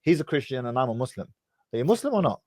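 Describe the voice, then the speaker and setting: A man's voice: medium pitch, very animated, fast talker, a little mellow, no echo, studio quality. A young man hosting a live stream who interrupts, cross-examines and explains at length in a debating call-in chat. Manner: lectures in long explanatory runs.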